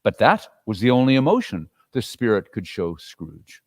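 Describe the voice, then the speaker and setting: A storyteller giving a measured dramatic reading of a classic tale. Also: medium pitch, sing-song, darkish tone.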